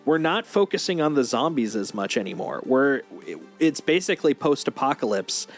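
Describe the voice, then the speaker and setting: A man's voice: medium pitch, very animated, fairly quick. Rambling male streamer over music. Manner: rambling, thinking aloud. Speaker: livestream host. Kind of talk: casual opinionated discussion.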